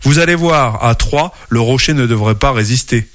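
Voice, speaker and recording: A man's voice: medium pitch, normal speed, a bit bright, no room sound, clean studio sound, close to an everyday voice. A man voicing a confident male moose in a children's story, sounding reassuring and eager to help.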